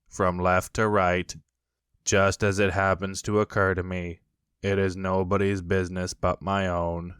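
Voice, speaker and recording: Man playing a glum old donkey, low, slow deadpan drawl, dry sarcasm. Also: clear, resonant tone, no echo. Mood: gloomy.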